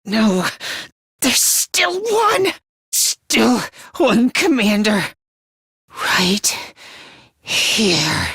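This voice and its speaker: High-voiced, anxious teenage boy. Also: a bit bright, slightly rough, no room sound, clean studio sound, exaggerated voice. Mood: unhinged, intense. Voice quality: high, boyish, androgynous. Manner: timid, hesitant, stammering, emotionally volatile. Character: anxious, self-deprecating, frail teenage boy, unstable under strain. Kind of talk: video game voice acting, dramatic.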